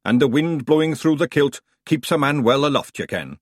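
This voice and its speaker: A man's voice: fairly high pitch, animated, moderate pace, dry recording, studio quality, pitched above the performer's own voice. A man voicing a burly male fairy warrior with a Scottish accent. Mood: hearty.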